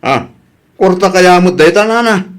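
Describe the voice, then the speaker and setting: A man's voice: sits high, lively intonation, measured pace. A man playing a shifty young village son in a comedy radio drama, hesitant and evasive in his talk.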